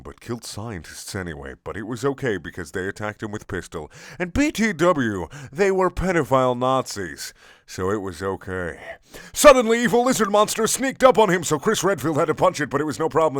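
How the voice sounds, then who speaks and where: A man's voice: lowish voice, no echo, studio quality. A man narrating a comic action story in stilted, broken English with dropped articles.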